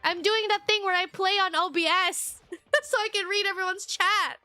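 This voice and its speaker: A congested woman streaming a video game, reacting excitably with frequent gasps and laughs. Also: very high voice, moderate pace, no room sound, faint music behind. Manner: excitable, gasping and laughing often. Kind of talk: casual gameplay commentary.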